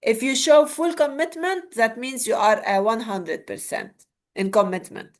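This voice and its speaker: A woman's voice: on the high side, measured pace. A woman from Lebanon with a Lebanese Arabic accent, leading an interactive online workshop and often checking in with "okay?". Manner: repeats words, checks in with "okay?". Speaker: webinar trainer.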